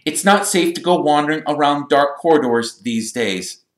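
Man doing a pompous, high-pitched prefect boy. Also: lively intonation, normal speed, reverberant, natural voice. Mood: stern, affronted. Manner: stern, affronted, pompous. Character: stiff, pompous older teenage boy prefect.

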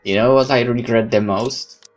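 A woman's voice: rumbling low, fairly even intonation, normal speed, a little mellow, faint music behind. A woman gamer reading game text aloud and adding casual, incredulous commentary. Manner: incredulous asides. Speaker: gamer doing a playthrough. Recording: faint background noise.

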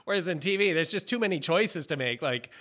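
A man's voice: a little high, expressive, brisk, a bit bright. A middle-aged American man chatting casually and off the cuff as a podcast co-host on a studio mic. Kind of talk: casual chat. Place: small home office. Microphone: boom-arm studio mic, headphones.

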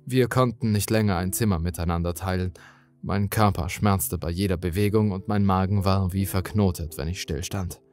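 A man narrating a scripted horror story in a dramatic, brooding, deliberate manner. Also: low voice, steady tone, darkish tone, soft background music.

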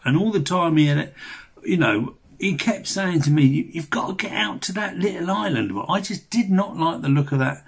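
A man's voice: on the high side, gravelly, husky. An English man in his sixties narrating a fishing vlog in a chatty, rambling, colloquial way. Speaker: fishing vlogger.

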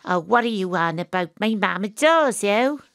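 A woman's voice: medium pitch, lively intonation, moderate pace, a bit bright, no room sound. A Welsh woman private eye with a mid-range voice and a wry, exasperated manner. The audio drama recording is dry and close, with colloquial noir wit. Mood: amused, teasing. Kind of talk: audio drama acting, dialogue and first-person noir narration.

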